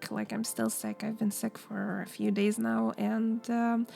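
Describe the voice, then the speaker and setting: Hoarse woman chatting over a speed build. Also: on the high side, level tone, measured pace, dark tone, faint music behind. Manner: chatty, rambling with fillers. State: hoarse from illness. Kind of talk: casual voiceover.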